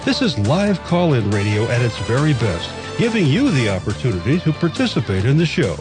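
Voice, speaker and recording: A man reads the opening of a radio health show in a polished, promotional way. His delivery moves up and down with lively emphasis, and clear music plays underneath. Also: medium pitch, fairly quick. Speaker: announcer. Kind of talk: scripted radio show intro. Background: music bed.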